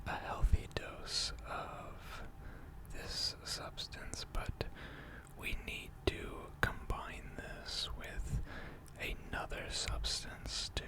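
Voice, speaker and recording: A person in an ASMR roleplay voicing a gentle, attentive mechanic who tends robots, speaking formally and deliberately in a soft, breathy whisper. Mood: calm, methodical. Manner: slow, deliberate, soothing, formal.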